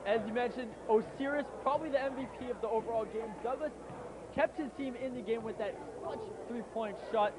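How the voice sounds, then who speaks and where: A man with a very high voice gives steady color commentary on the championship game. He agrees with his partner and makes his points evenly. Clear background noise runs under the recording. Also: a little fast. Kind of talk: amateur league courtside commentary. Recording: noisy outdoor recording.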